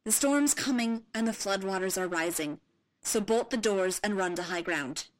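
A woman voicing a calm young female royal handmaiden who speaks in a measured way. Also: medium pitch, lightly acted, rough recording.

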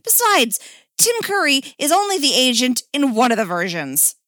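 Storybook big brother voiced by a female reader, hammy and outraged, pitched way up. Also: very animated, moderate pace, a bit bright, no echo, studio quality. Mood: mock outrage. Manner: melodramatic, hammy.

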